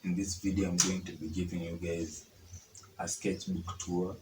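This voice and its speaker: A hoarse young man with a low, mellow voice talks slowly through his old sketches. His delivery is flat and chatty, with plenty of fillers, and his voice bounces around a roomy space. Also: a little hiss or hum. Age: young adult. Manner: informal, chatty, frequent fillers. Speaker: artist. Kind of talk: casual art tutorial chat.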